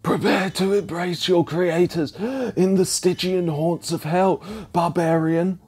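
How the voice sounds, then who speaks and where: A man's voice: sits high, steady tone, slow pace, darkish tone, husky, soft background music, voice pushed up, exaggerated voice. A man voicing a gasping, threatening mercenary soldier in a theatrical fantasy story reading. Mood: gasping menace. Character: first soldier, male mercenary, gasping and menacing.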